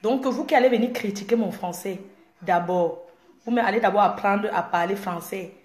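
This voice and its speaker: A woman's voice: medium pitch, normal speed, a little mellow, roomy sound. A woman from Cameroon with a Cameroonian French accent, a blogger talking straight to camera in a boastful, confrontational, mocking way. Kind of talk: direct-to-camera rant.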